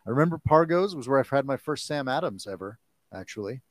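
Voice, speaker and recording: Man, 40s, guest actor, animated and jokey, dry close sound. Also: mid-range voice, dry recording. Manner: animated and jokey, quick to laugh. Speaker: guest, actor and audiobook narrator. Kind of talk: casual chat.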